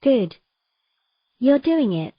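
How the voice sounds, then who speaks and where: A woman playing a patient, gently commanding mermaid in a romantic fantasy audio drama, giving measured, encouraging instructions. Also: high-pitched, very animated, dry recording, dull audio, heavily acted.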